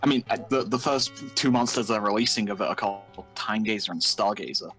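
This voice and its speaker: A man improvising game roleplay in a hesitant, halting way, with frequent false starts. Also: lowish voice, music in the background, rough recording. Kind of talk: improvised Minecraft roleplay chat.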